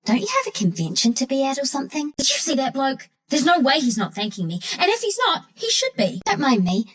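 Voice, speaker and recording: A scrappy little forest critter from a video game, with a high, animated voice that swings freely. She talks with cocky, slangy irreverence. The character is a cheeky trickster who sounds small and sure of herself. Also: a little fast, full character voice. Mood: cocky, flippant.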